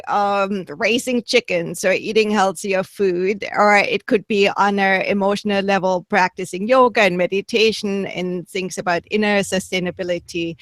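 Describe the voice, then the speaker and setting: A woman's voice: medium pitch, a bit bright, no room sound. A woman from Germany with a German accent, a podcast guest chatting casually and laughing easily on a video call. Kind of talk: casual roundtable chat.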